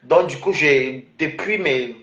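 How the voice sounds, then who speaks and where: A man's voice: on the high side, slow, roomy sound, highs cut off, noisy background. A man from Cameroon in a casual, rambling personal monologue, full of restarts and fillers. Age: adult.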